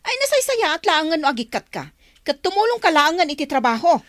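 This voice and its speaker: Radio drama role: suspicious, nagging wife and mother, shrill-high and highly animated delivery. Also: brisk, no room sound, studio quality. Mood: scolding. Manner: sharp, nagging. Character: scolding, suspicious, harsh wife and adoptive mother.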